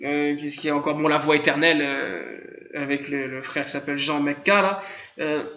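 A man with a high voice and an Arabic-tinged French accent teaches a live religious class over voice chat. He talks in an animated way, keeps asking rhetorical questions and often chuckles. The sound is roomy and dull, like lo-fi chat-room audio. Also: moderate pace. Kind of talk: live online religious lesson.

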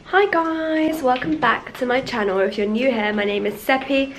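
A woman's voice: sits high, moderate pace, a little hiss or hum. A woman in her twenties vlogging with a stuffy nose, chatting playfully while holding the camera at arm's length. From Iranian family, UK. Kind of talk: casual vlog chat. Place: home and café, indoors. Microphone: handheld camera at arm's length.

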